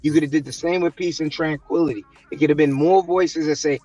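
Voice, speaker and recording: A man's voice: fairly high pitch, steady tone, fairly quick, warm tone, no echo, faint music behind. A man arguing insistently in a heated panel debate, pressing his point.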